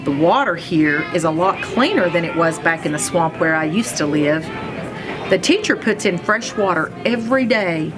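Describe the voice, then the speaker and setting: A woman reading a children's story aloud in an expressive, playful manner. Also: fairly low pitch, moderate pace, music in the background, a crowd in the background. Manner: expressive, playful, acts out sounds and exclamations. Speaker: read-aloud reader, school superintendent.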